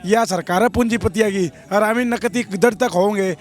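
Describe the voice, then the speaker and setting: A young man with a Haryanvi accent, a protesting farmer, speaking hoarsely in a blunt, defiant, rambling way in a street interview. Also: sits high, normal speed.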